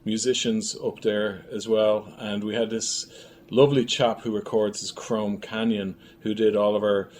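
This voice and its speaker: A man's voice: fairly low pitch, level tone, moderate pace, darkish tone. A man speaking as an interview guest, looking back on events in casual, reflective conversation. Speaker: filmmaker and writer, interview guest. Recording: clearly noisy room ambience.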